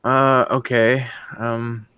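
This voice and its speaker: A man of medium pitch chats through plans in a casual brainstorm, recorded dry with little room sound. He is a bit hesitant and circles back to restate his ideas. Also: flat delivery, extremely slow, bright, forceful tone, clear, resonant tone, dry recording. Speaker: co-producer who makes music.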